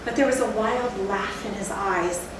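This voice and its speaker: A woman playing a haunted, fearful narrator in theatrical Gothic storytelling, with a deliberate, literary delivery. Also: medium pitch, lightly acted. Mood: dread. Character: haunted, fearful narrator recalling a night of horror. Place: theatre stage, recorded from a distance. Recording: echoey hall, distant mic, faint noise.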